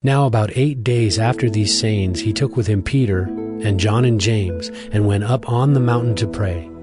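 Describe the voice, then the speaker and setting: A man narrating scripture from a script as a measured storyteller. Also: lowish voice. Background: music.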